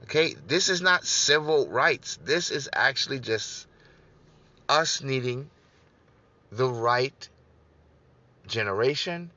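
A man's voice: mid-range voice, lively intonation, slow, long pauses, bright, forceful tone, lo-fi sound. A man giving an emphatic political talk to his online audience, repeating his points and calling listeners "guys". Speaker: political speaker on a broadcast.

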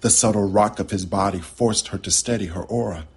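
A man narrating a poetic short story with measured, deliberate phrasing. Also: lowish voice, breathy edge. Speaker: storyteller, author.

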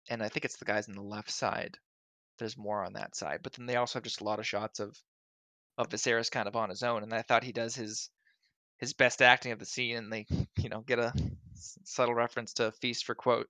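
Bright-voiced excitable man. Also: lowish voice, normal speed, dry recording. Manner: excitable, acts out quotes with big swings in loudness. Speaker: podcast co-host. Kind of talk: casual chat.

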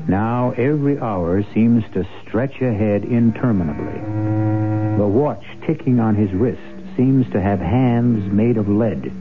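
Lowish dramatic radio narrator, man. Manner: measured, dramatic, literary. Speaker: host and narrator. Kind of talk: scripted old-time radio drama narration. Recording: muffled, band-limited old radio recording. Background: music.

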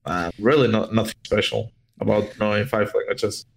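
A man's voice: fairly low pitch, steady tone, normal speed, gravelly, slight background noise. A young man from Portugal who grew up speaking Spanish, chatting casually in an interview with lots of fillers and small laughs. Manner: rambling, filler-heavy, laughs often. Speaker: esports player guest.

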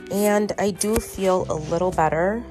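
A woman hosting her own podcast while sick, tired and congested, talking slowly in a halting way with many restarts and ums. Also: mid-range voice, a little mellow, soft background music. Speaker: podcast host. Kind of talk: casual solo podcast monologue. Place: her bedroom at home.

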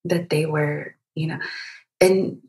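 A woman from Egypt conducting a literary interview, speaking reflectively and feeling her way through halting phrases. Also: sits low, fairly even intonation, a little mellow.

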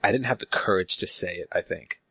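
A very deep, gravelly man with a husky, breathy edge, co-hosting a podcast. He talks haltingly, repeating himself and trailing off as he works through a thought. The sound is dry and close. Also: bright, forceful tone, dry recording. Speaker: podcast co-host. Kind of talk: casual chat.

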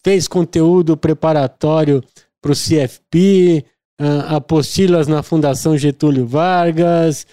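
Upbeat podcast host with a mellow voice. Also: male voice, on the high side, steady tone, smooth, dry recording, clean studio sound. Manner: enthusiastic, joking, quick asides. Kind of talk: casual podcast interview.

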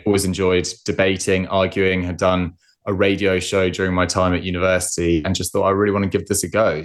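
A man in his late twenties, born in Scotland but with an English accent, chatting casually and self-deprecatingly as a podcast guest over a remote call. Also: low voice. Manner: relaxed, self-deprecating, chatty with many fillers. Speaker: podcast guest, football presenter. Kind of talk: casual interview chat.

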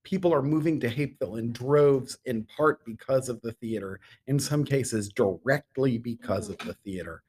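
A middle-aged man answering interview questions as a guest, measured and given to emphatic repetition. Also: mid-range voice, a little mellow, slightly rough. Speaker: interview guest, theater artistic director.

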